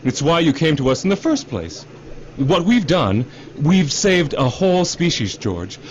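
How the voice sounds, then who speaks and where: Casting: man to play slick middle-aged executive, self-justifying, higher-set animated voice, radio drama. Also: a little slow. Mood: defensive, pleading. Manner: overfamiliar, salesman-like cajoling, repeats himself. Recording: dull radio drama recording, faint noise.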